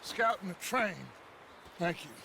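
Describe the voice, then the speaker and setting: An actor playing a middle-aged American outlaw leader in a western game, theatrical and authoritative, yet protective. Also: male voice, sits high, a little slow, slight background noise. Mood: weary, steady. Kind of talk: cinematic western game acting. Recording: game audio with loud ambience behind.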